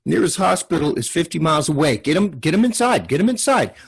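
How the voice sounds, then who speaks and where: A frantic parent in a television drama, acted out by a man. The voice is high and husky, urgent and pleading, with phrases repeated in haste. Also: sing-song, fast talker, exaggerated voice. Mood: panicked, pleading.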